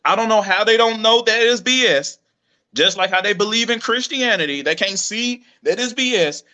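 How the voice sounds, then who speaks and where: A man's voice: high voice, moderate pace, pushed and bright. A male host in a casual live-stream chat who talks in a blunt, dismissive, slangy way.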